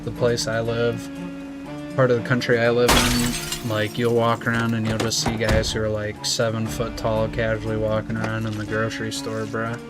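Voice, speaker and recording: Chill young dude streaming, flat voice, talks slangy and rambly, music going behind him. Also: male voice, fairly low pitch, normal speed. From United States. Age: young adult. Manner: laid-back, rambling, slangy. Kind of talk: casual chat with viewers while gaming.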